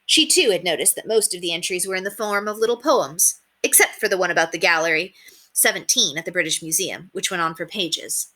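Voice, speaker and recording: A woman narrating a children's story aloud in an expressive read-aloud style. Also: on the low side, a little fast.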